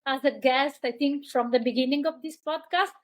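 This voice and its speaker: A woman with a high voice hosts a podcast over a video call. She sounds effusive and enthusiastic, sometimes restarting her phrases as she introduces her guest. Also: normal speed. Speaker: podcast host. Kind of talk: warm conversational interview.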